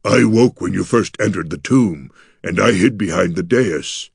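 A timid, gentle gargoyle from a fantasy storybook. The voice is very low and extremely gravelly, with a husky rasp. He speaks slowly and mournfully, like a glum but kindly monster. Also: dry recording, clean studio sound, exaggerated voice. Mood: glum, sorrowful. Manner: slow, mournful delivery.

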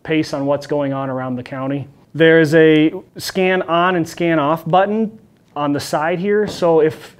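A middle-aged man speaks as a police officer presenting to the public. His voice sits a little higher than average. He explains things informally, straight to camera, in a meeting room. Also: darkish tone.

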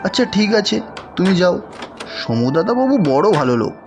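A very lively cartoon voice for a sheepish village husband. He is easygoing and defensively appeasing, with music behind. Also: on the low side, brisk. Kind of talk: Bengali cartoon voice acting. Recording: loud music bed over dialogue.

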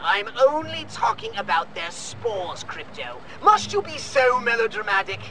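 A man's voice: extremely high pitch, wildly swooping pitch, measured pace, creaky, sharp tone, exaggerated voice, poor audio. An actor playing a pompous, theatrical male alien commander who speaks as a hologram in a video game. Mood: impatient. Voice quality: haughty, theatrical. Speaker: superior officer. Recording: game audio with faint music.

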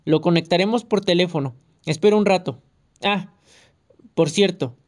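A reader voicing a fearful, hesitant police officer on the phone in an audiobook. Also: male voice, high-pitched, normal speed, close to an everyday voice. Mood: nervous. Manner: hesitant, muttering.

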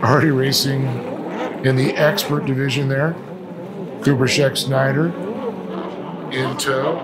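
Man calling a race over loud engine noise. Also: mid-range voice, flat delivery, unhurried. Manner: colloquial, folksy racing slang. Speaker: race announcer. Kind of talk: live watercraft race commentary. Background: loud watercraft engines outdoors.

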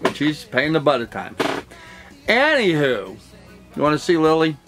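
Rambling middle-aged man, slightly high voice. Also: sing-song, normal speed, over music. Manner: rambling, with many fillers and restarts. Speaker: vlogger, real estate broker. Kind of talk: casual talking-head vlog. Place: cluttered home office or storage room.

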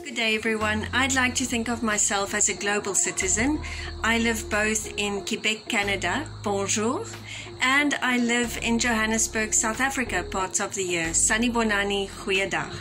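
A woman introducing herself to camera, greeting warmly in several languages. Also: mid-range voice, normal speed, over music. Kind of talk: short self-introduction to camera.

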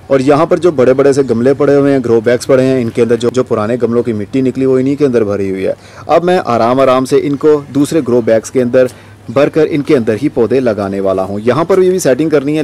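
A man with a mellow, relaxed voice talks casually as he hosts a gardening vlog. His pitch sits in the middle range. He is recorded outdoors on a handheld camera, with faint ambient noise behind him. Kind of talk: casual vlog walkthrough narration. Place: outdoors on rooftop terrace garden in rain. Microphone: handheld camera microphone. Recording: outdoor ambient noise, light urban background.

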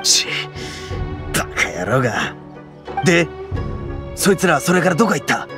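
A man voicing a brash, boastful male oni brawler who talks in rough, swaggering speech, over game music. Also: a little high, sing-song, moderate pace. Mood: gruff, determined. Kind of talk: Japanese game voice acting.

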